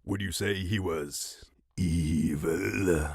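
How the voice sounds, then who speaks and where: Man playing a gravelly, pompous evil boy genius. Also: low-pitched, steady tone, extremely slow, dry recording, studio quality, exaggerated voice. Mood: haughty, curious. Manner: pompous, coolly villainous. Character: arrogant, gleefully evil ten-year-old boy genius. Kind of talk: comic audio drama acting.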